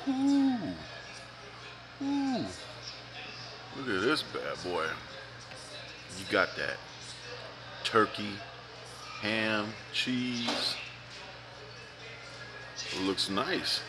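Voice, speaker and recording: A man's voice: on the low side, sing-song, extremely slow, pauses often, music in the background. A man, reviewing food in a casual, joking vlog while eating at a restaurant. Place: restaurant dining room, indoors.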